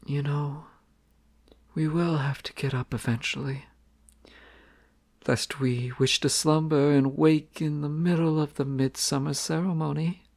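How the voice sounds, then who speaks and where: A man voicing a bashful young crown prince in an intimate fantasy audio drama. He speaks at an unhurried, lingering pace, tender and lovestruck. His delivery stays soft and close, often slipping into a hushed whisper. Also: on the high side, fairly even intonation, creaky. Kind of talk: romantic audio roleplay.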